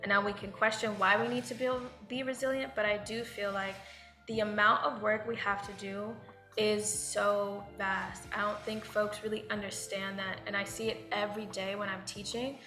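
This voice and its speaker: A woman's voice: medium pitch, level tone, moderate pace, sharp tone, faint music behind. A woman speaking as a guest on a panel, reflective and loose, with many fillers. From United States. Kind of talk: panel discussion talk. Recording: echoey room.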